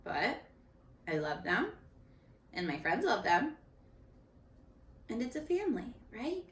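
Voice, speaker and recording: A woman reading a picture book aloud to young children in an expressive, instructive way, speaking to them directly as a teacher. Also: on the high side, slow. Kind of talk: read-aloud for young children with questions to the listeners. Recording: echoey room, distant microphone.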